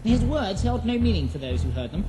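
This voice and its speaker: A woman narrator reading a scripted fantasy drama in a solemn, measured storytelling manner. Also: mid-range voice, sing-song. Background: prominent music.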